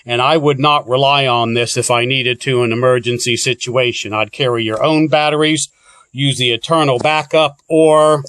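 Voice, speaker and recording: A man's voice: mid-range voice, fairly bright tone, clean tone, no room sound. A man giving a plainspoken, informal walkthrough as a product reviewer.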